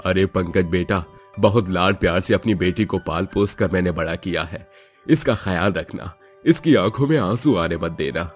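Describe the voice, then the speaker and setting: A man voicing a gentle middle-aged father who speaks softly and politely to his in-laws. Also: sits low, moderate pace, faint music behind, pitched below the performer's own voice, lightly acted. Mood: earnest.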